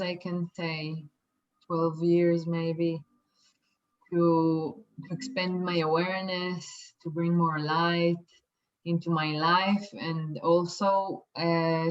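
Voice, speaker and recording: Israeli woman teacher, talks real slow and kinda hesitant, lowish voice, dull lo-fi sound. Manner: hesitant, many fillers and restarts. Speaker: light language teacher. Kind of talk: slow, soft-spoken workshop introduction.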